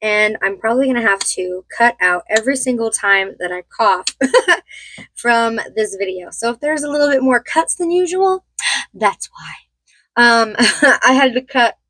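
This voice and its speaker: Hoarse, chatty woman with a slightly high voice. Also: lively intonation, measured pace, a bit bright, no echo. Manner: chatty and rambling, laughs often. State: hoarse from a cold. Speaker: beauty vlogger. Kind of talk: casual get-ready-with-me chat.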